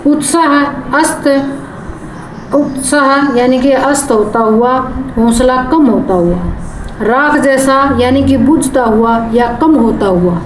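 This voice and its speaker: Woman teacher, unhurried, keeps rephrasing and glossing words, echoey room with background noise. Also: fairly high pitch. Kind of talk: explaining a poem line by line.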